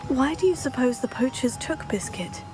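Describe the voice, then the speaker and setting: An actress playing a composed teenage witch student in a video game, speaking in a polite, measured way. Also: sits high, lively intonation, music in the background. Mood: sympathetic. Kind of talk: video game character acting.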